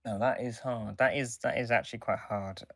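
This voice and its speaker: A man's voice: medium pitch, fairly even intonation, moderate pace, creaky, a bit bright, no echo, clean studio sound. A man co-hosting a podcast, talking casually as he reviews and gives his opinions. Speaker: podcast co-host.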